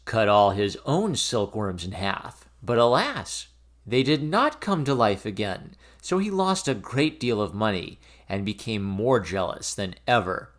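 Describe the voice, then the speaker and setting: A man reading a fairy tale aloud as an expressive, theatrical storybook narration. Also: medium pitch. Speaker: storyteller, reader of fairy tales.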